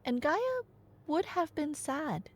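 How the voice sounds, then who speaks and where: A woman's voice: high voice, big pitch swings, slow, exaggerated voice. A woman playing a nerdy girlfriend who tells myths in a casual, rambling ASMR roleplay style, with wry, hesitant asides. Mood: engrossed storytelling. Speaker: ASMR audio roleplay performer.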